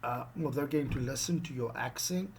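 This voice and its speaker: A middle-aged man from South Africa with an Afrikaans accent, giving a casual lesson on job interviews, animated and checking in often with "okay?". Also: mid-range voice, moderate pace, gravelly, a little hiss or hum. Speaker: online English teacher.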